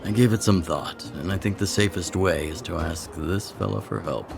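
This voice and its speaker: A man's voice: deep voice, moderate pace, vocal fry, over music. A man voicing a laid-back, wry fellow from the underworld in a video game. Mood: wry, casual.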